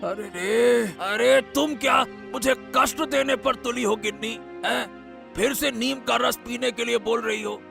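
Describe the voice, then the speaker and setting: A man voicing an ailing older husband in a cartoon story, whiny, groaning and complaining in a creaky voice. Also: extremely high pitch, over music, heavily acted. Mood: reluctant, pleading. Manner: slow, groaning, protesting.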